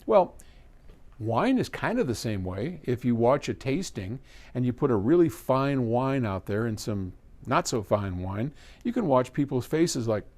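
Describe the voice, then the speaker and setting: A man's voice: mid-range voice, very animated, unhurried, a little mellow. A man talking casually and unscripted to camera as a host answering viewer questions, rambling conversationally with asides and self-corrections. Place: trade-in equipment warehouse.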